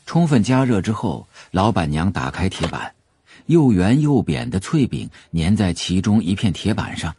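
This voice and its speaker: A man's voice: sits low, sing-song, moderate pace, dark tone, a little hiss or hum. A man reading scripted narration for an audio drama, telling the story as a novel's narrator. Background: faint music.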